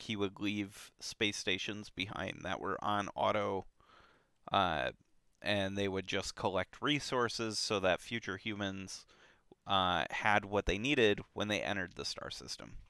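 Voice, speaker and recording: Slow, bright-voiced man chatting casually. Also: fairly low pitch, smooth, no echo, clean studio sound. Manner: relaxed, hesitant, many fillers and restarts. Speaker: book club podcast co-host. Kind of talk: casual book discussion.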